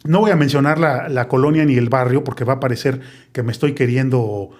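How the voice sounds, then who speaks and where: A man's voice: medium pitch, a little fast, a little mellow. A man in his forties with a Mexico City accent, hosting a casual chat and wandering off on tangents, often tagging his points with a question. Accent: Mexico City Spanish. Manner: chatty, digressive, tags phrases with "¿no?". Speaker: podcast host.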